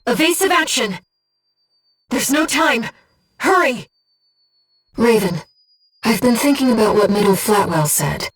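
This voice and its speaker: A woman playing an earnest, devoted young female spirit guide in a video game, speaking with composed, gentle precision as a mission operator. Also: on the high side, expressive, unhurried, long pauses, slightly rough, far from the mic. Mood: urgent, alarmed. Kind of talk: scripted video game voice acting.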